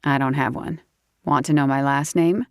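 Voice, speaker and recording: A woman's voice: steady tone, normal speed, vocal fry, clear, resonant tone, dry recording, clean studio sound. A woman voicing a stern, protective man who speaks firmly and in a clipped way in an audiobook. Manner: firm, clipped, low-key. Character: stern, protective grown man, gruff and calm.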